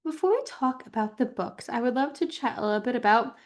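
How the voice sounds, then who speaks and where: A woman's voice: a little high, expressive, a little fast. A woman speaking as a podcast host, presenting and interviewing. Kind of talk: scripted intro and interviewing.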